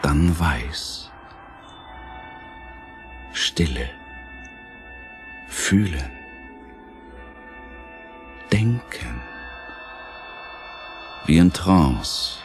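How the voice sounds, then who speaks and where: A man narrating a German radio play in a theatrical, deliberate scripted style. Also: rumbling low.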